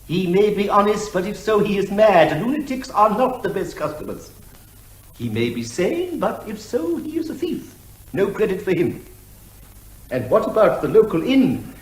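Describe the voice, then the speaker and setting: An actor playing a verbose barrister who argues rhetorically and is elaborately deferential to the judge. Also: male voice, high voice, big pitch swings, moderate pace, roomy sound, poor audio. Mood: declamatory, insistent. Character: verbose, theatrical male barrister, obsequious to the judge. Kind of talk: comic courtroom advocacy.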